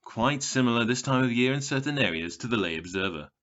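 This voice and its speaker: An actor playing a smug, taunting television game show announcer with glib, theatrical, condescending patter in an audio drama. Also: male voice, medium pitch, lively intonation, quick pace, a bit bright, lo-fi sound, close to an everyday voice. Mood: slick, teasing.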